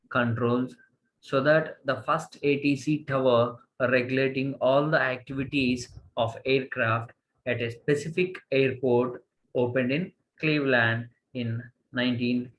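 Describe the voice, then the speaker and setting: An Indian man delivers a college lecture at a very slow pace, in a medium-pitched voice. He speaks haltingly and often repeats a phrase to stress it. A faint background noise sits under the recording. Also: rough recording. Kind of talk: slide lecture, reading and explaining.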